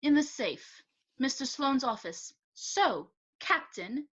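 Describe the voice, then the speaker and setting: A woman's voice: sits high, very animated, takes it slowly, husky, dull audio, full character voice. A woman playing a composed, pointed secretary in an amateur murder mystery. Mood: cool, defiant. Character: cool, assertive female secretary in a murder mystery.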